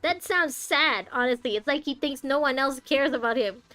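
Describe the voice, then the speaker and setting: Higher-pitched woman streaming a game. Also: normal speed, pushed and bright, dry recording. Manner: talks back to the characters. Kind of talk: casual playthrough commentary reacting to story.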